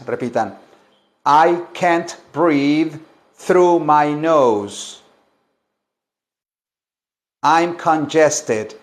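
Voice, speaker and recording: A man teaching English, switching between languages and repeating each phrase slowly for learners. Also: fairly high pitch. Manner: slow, deliberate drilling with many repetitions. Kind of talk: bilingual language lesson.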